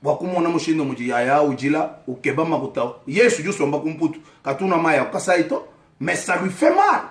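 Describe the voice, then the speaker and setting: A man's voice: fairly high pitch, big pitch swings, fairly quick. Fiery Congolese-accented man in an echoey room. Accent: Congolese French. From Kasaï, DR Congo. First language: Tshiluba. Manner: fiery, accusatory, calls out names directly. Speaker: vlogger addressing his community. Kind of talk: impassioned political address to camera.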